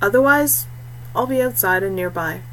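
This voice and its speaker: A woman voicing a quiet, self-possessed young male scout in an audiobook, understated and matter-of-fact. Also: medium pitch, animated, normal speed, a little hiss or hum, rough recording. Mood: calm, dry.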